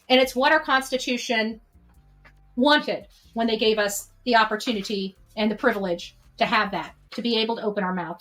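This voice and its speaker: A woman with a fairly high voice speaks off the cuff as a livestream host. She is earnest and exhortatory, urging her followers on like an organizer and often tagging her points with a quick check for agreement. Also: a bit bright, poor audio. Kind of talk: unscripted livestream address to followers.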